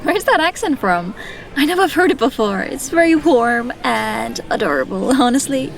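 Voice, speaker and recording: A woman playing a shy, nervous young woman in a fantasy audio drama, halting and polite. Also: sits high, sing-song, vocal fry, faint music behind, full character voice. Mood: friendly.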